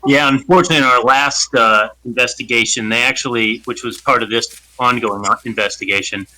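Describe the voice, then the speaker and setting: A man playing a polite marshal investigator in a tabletop game, informal and halting as he talks. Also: medium pitch, bright, forceful tone, poor audio. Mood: cautious, polite.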